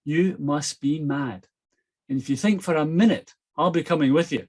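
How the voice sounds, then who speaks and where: A man's voice: on the high side, moderate pace, a little mellow, natural voice. A Scottish man reading aloud and voicing a blunt, long-suffering older wife who scolds her husband. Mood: exasperated.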